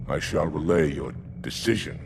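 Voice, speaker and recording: A very deep, gravelly alien commander. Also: male voice, normal speed, background noise, full character voice. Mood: indignant, restrained. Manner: formal, restrained indignation. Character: stern, indignant alien military commander. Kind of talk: video game cinematic acting. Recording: music clearly behind.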